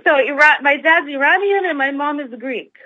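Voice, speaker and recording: A woman's voice: high voice, normal speed, dry recording, highs cut off. An American woman calling in to a talk show, speaking hesitantly with many fillers and restarts. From United States. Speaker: caller. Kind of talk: phone-in call.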